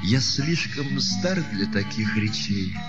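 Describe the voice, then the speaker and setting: A man's voice: fairly low pitch, lively intonation, takes it slowly, lo-fi sound. A man singing an acoustic bard song as a singer-songwriter. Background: plucked acoustic guitar.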